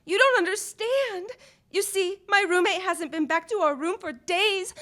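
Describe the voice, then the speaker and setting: A woman acts out an ingenuous young dancer in a 1940s radio drama. She speaks in a high voice, earnest and naive, as a worried client would. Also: unhurried, fairly bright tone. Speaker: actor playing the client. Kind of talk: 1940s radio play acting. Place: theatre stage before a studio audience.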